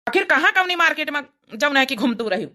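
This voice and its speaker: Woman doing a high, chiding Awadhi village husband. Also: expressive, a little fast, sharp tone. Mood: scolding. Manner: chiding, rustic. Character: exasperated rustic village husband, young man. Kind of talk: comedy cartoon dialogue.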